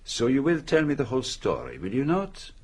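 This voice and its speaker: Foreign male detective, formal, probing, courteous, expressive pitch, slightly rough voice, radio drama. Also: fairly quick, exaggerated voice. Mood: courteous, coaxing. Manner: formal, probing, ends statements with questions.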